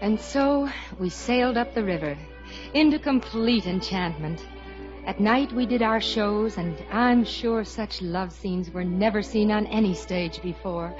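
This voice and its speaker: An actress playing a romantic young showboat leading lady in a 1950s radio musical, measured and wistful. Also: mid-range voice, natural voice. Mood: wistful, dreamy. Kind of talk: 1950s radio musical drama narration and acting. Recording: muffled old radio broadcast with music.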